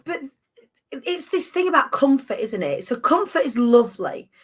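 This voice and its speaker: A woman in her thirties hosting a casual chat, animated and emphatic with playful asides. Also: high voice, moderate pace. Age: 30s. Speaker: podcast host.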